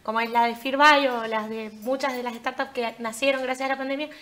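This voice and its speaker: Higher-voiced Argentine woman, emphatic. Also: fairly even intonation, a little fast, fairly bright tone. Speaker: startup representative, panelist. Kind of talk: panel discussion.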